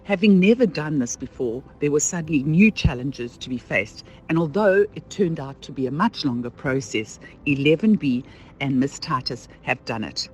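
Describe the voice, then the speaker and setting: A man's voice: fairly high pitch, expressive, moderate pace, slight background noise, poor audio. A man reading a scripted introduction as an announcer.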